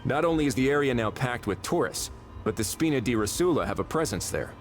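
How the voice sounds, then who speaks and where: A man's voice: medium pitch, lively intonation, fairly quick, lightly acted. A man voicing a shrewd, composed official with dry, wry authority in a video game. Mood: analytical, composed. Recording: faint music behind the voice.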